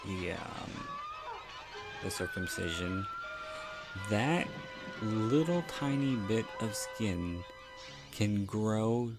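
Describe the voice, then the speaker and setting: A man from Philadelphia with a South Philly accent, giving a casual, rambling and halting film commentary full of candid asides. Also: lowish voice, expressive, over loud music. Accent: South Philadelphia American. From Philadelphia, United States. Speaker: podcast host.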